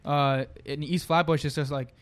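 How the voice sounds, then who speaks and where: A young man guesting on a podcast, his first language Italian, speaks in a loose, slang-filled, easygoing way. His voice sits slightly high for a man, and he often trails off and restarts. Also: steady tone, moderate pace. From Brooklyn, New York. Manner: laid-back slangy talk with many fillers and restarts. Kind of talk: casual chat.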